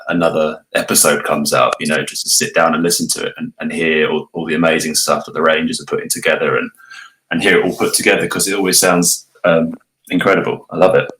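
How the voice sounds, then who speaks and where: Male voice actor, notably low pitch, measured and reflective in relaxed interview conversation. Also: rough recording. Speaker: voice actor, cast member. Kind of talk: casual interview talk. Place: online voice call.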